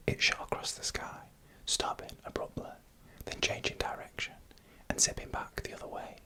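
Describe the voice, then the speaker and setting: A narrator telling stories in a soft, unhurried ASMR whisper, close to the microphone. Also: poor audio. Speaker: narrator of scary UFO encounter stories. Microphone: close microphone for headphone listening.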